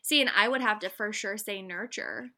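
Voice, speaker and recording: A young woman from the Midwest with a higher voice, co-hosting a podcast and musing at length in casual chat. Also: pushed and bright. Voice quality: higher-pitched voice. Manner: rambling, thoughtful, quick to laugh. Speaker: podcast co-host.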